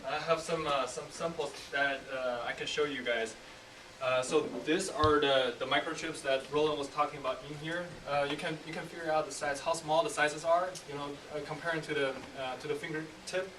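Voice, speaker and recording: A young man, an engineer speaking hesitantly to students with frequent pauses. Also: a little high, fairly even intonation. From China. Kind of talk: casual classroom talk. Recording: roomy distant mic with faint noise.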